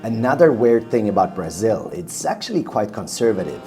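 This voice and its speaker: A man from Sweden narrates his travel vlog in a light, upbeat voice-over. His tone is mellow, with faint music under it. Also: on the low side, very animated, normal speed.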